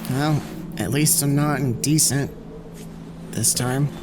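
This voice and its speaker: A man's voice: mid-range voice, gravelly. An actor playing a tired, stranded male scientist who mutters wryly to himself.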